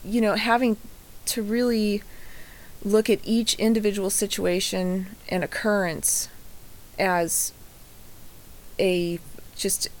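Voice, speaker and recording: A woman's voice: mid-range voice, flat delivery, slow, long pauses, low-quality recording. A woman in a casual group conversation who talks earnestly and rambles, with many fillers.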